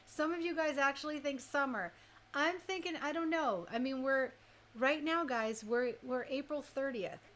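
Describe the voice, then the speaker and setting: A woman's voice: high-pitched, fairly bright tone. A woman hosting a live radio stream, chatting casually and conversationally, speaking directly to her listeners. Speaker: radio DJ and host.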